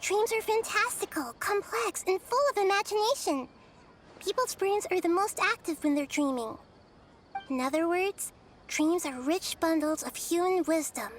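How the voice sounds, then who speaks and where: High-voiced, wise little goddess. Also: female voice, a little hiss or hum. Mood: dreamy, explaining. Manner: measured, explanatory, teacherly. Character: small wise young goddess, gentle, patient, knowing. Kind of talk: anime video game voice acting.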